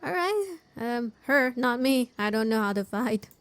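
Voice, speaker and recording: A woman's voice: a little high, lively intonation, a little slow, background noise. A woman doing casual, chatty gameplay commentary in informal non-native English. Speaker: game streamer. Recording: game music behind the voice. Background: video game music.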